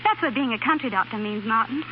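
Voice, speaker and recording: A woman's voice: fairly high pitch, lively intonation, fast, lightly acted. A woman acting a plucky, devoted young sweetheart in a 1930s radio drama, folksy, affectionate and plain-spoken. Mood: bright, proud. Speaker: radio actress. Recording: muffled, hissy 1930s radio broadcast.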